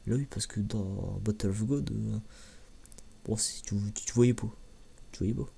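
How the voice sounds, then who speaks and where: Man, soft-spoken ASMR, gravelly low register, hesitant slangy rambling, near-whisper at times. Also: a little slow. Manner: hesitant, informal, slangy, often repeating words. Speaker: host of an anime review video. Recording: clearly audible background noise.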